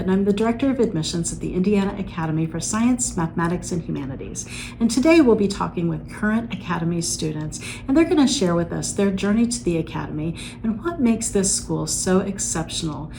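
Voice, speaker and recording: Admissions director, woman, measured scripted intro, slight background hiss. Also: on the low side, warm tone, low-quality recording.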